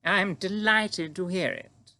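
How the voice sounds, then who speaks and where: Man voicing a high, imperious storybook Queen. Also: pushed and bright, heavily acted. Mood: composed, amused. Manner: imperious, drawn-out deliberate speech. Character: regal older Queen, sharp, composed, commanding. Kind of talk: audiobook character acting.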